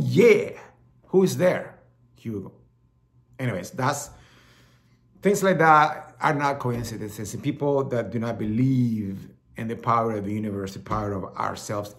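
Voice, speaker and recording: A middle-aged man from El Salvador with a Salvadoran Spanish accent, hosting a talk show in a casual, rambling monologue that often repeats words. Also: medium pitch. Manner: rambling, repeats words, acts out quoted voices.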